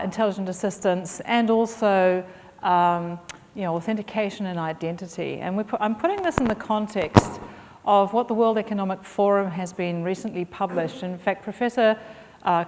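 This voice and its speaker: A woman's voice: lowish voice, moderate pace, darkish tone. A middle-aged woman with a mixed British and Australian accent giving a conference keynote, fluent but with frequent ums and uhs. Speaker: keynote speaker. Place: conference hall. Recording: long hall reverb.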